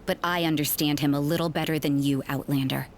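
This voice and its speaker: A woman playing a wary, sardonic nun investigator in an anime fantasy video game, speaking in a cool, dry, deliberate way. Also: deep voice, fairly even intonation, slight background noise. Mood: stern.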